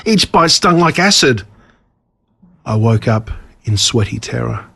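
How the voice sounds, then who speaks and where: An Australian man reads a children's story as a narrator, expressively and with dramatic pauses on key words. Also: medium pitch, very animated, creaky, sharp tone, breathy edge. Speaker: author reading own story.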